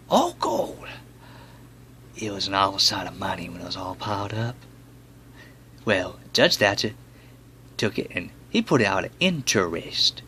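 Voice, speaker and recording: A man's voice: lowish voice, a bit bright, exaggerated voice. A man performing a dramatic monologue as a folksy, plain-spoken boy. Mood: pleased, marvelling. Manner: drawling, folksy, ungrammatical storytelling.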